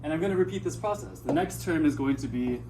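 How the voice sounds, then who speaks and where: A man's voice: on the high side, expressive, fast. A man teaching a math class, patiently explaining and asking his students questions, sounding a little out of breath. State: slightly out of breath. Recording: distant mic, noisy classroom.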